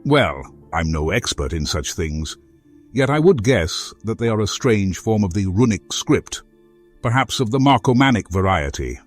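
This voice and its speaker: A man playing a courteous, earnest former foe in a fantasy game, speaking formally and deliberately as he offers help. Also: on the low side, big pitch swings, soft background music. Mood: intrigued, helpful. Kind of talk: video game character dialogue.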